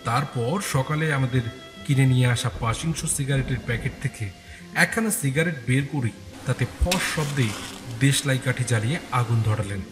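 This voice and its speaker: A man's voice: medium pitch, fairly quick. Male dramatic story narrator over prominent music. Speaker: first-person narrator.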